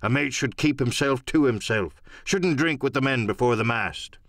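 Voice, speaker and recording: A man's voice: medium pitch, normal speed, a bit bright, no room sound, natural voice. A stern, middle-aged sea captain, acted by a man for an audio adventure play. He speaks bluntly and formally in clipped phrases, plain and dutiful, with a close, dry sound. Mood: curt, disapproving. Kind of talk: audiobook radio-play acting.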